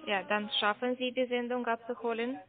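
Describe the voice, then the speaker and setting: A woman's voice: fairly high pitch, level tone, a little fast. Polite woman on a tinny phone line. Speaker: phone caller about a parcel. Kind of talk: call-centre phone call.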